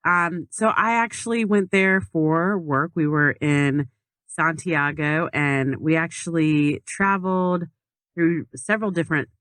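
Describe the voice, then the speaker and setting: A woman's voice: low-pitched, fairly even intonation, unhurried, a bit bright, clear, resonant tone, dry recording. Woman rambling through a travel story. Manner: rambling storytelling with many fillers and restarts. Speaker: podcast guest telling a story. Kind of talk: casual chat.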